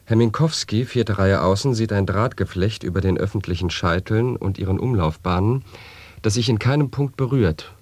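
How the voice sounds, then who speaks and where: A man performing a solemn monologist in an avant-garde radio play, reciting absurd wordplay in a measured, declamatory way. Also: on the low side, a little mellow, no room sound, natural voice. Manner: deliberate, measured, declamatory delivery.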